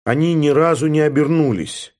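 A man giving a steady, measured first-person narration from a novel, in the manner of an audiobook reader. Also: a little high.

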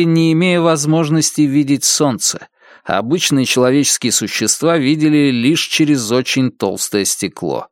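A man reading an audiobook in an even, clear storytelling voice on a close mic. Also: medium pitch, no echo. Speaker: narrator. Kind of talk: audiobook narration.

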